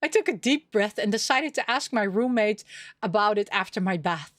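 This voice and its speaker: A woman reading a story game aloud expressively, dropping in casual asides, with an animated delivery. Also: medium pitch, moderate pace, dry recording, studio quality. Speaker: streamer reading a visual novel aloud.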